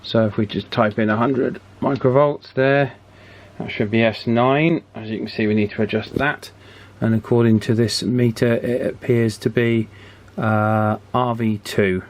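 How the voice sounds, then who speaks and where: A man giving a casual, chatty hands-on repair commentary in an unhurried way, as if thinking aloud. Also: medium pitch, steady tone. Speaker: radio repair hobbyist presenting his work.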